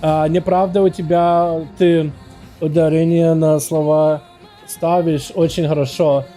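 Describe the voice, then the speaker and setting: A man with an American accent chatting on a game livestream, halting and searching for words as he switches between Russian and English. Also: on the high side, level tone, warm tone, faint music behind. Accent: American, speaking Russian. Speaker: game streamer. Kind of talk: casual livestream chat.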